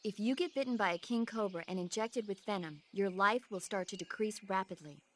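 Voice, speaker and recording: An actress playing an earnest, knowledgeable support advisor in a video game, explaining things informatively. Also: female voice, mid-range voice, fairly quick, a little hiss or hum, lightly acted. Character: knowledgeable female radio support advisor, earnest, easily exasperated.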